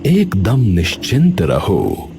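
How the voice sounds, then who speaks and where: A man acts out an old Indian sage for a cartoon tale, low and unhurried, calm and cryptic. His words come solemn and formal, like blessings, over clear background music. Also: exaggerated voice. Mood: cryptic, reassuring. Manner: slow, solemn, formal wording.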